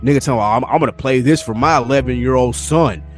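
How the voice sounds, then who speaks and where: A man's voice: mid-range voice, quick pace, faint music behind. A man in a casual but heated sports podcast debate, emphatic and argumentative, repeating phrases for stress and using slangy, profane language. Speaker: podcast co-host.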